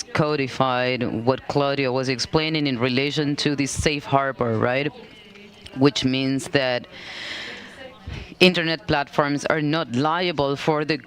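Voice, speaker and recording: A woman who works as a company policy manager, speaking on a conference panel in a conversational way, full of fillers like "like" and "you know". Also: low voice. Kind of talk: panel discussion talk.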